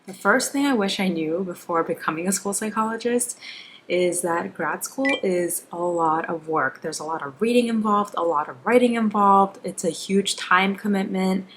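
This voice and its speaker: A woman's voice: lowish voice, a little slow, a bit bright, slight background noise. A young woman who grew up with Korean and English, talking casually and candidly as a school psychologist giving advice in a vlog. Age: young adult. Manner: informal, candid, self-deprecating, frequent "like". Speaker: school psychologist, video creator.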